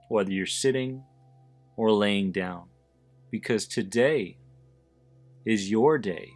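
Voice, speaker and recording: A man leading a guided visualization in a deliberate, soothing manner, pausing between short phrases. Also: mid-range voice. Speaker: host of an anxiety support podcast, guiding listeners. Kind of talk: guided visualization, meditation narration. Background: background music.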